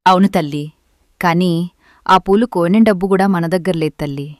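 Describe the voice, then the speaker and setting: A woman playing a gentle, grateful poor village wife and mother in a moral story cartoon. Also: lowish voice, fairly quick, no echo, lightly acted. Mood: gentle, earnest. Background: background music.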